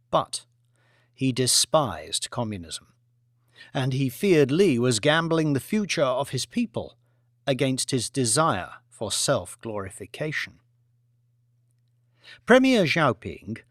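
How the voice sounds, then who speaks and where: A man narrating a scripted novel as an audiobook in a deliberate, theatrical manner. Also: mid-range voice, no echo, clean studio sound. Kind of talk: scripted dramatic fiction narration.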